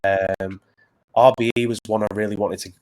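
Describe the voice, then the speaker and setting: A man with a Northern English accent from Preston, talking as a battle rapper guest in casual interview chat, earnest and halting with many restarts. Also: fairly low pitch, little pitch movement, darkish tone. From Preston, England.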